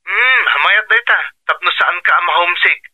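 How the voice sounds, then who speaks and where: A man's voice: sits high, brisk. A man with a Filipino accent acting a concerned, affectionate husband in a radio drama, on a dull lo-fi call. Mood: caring.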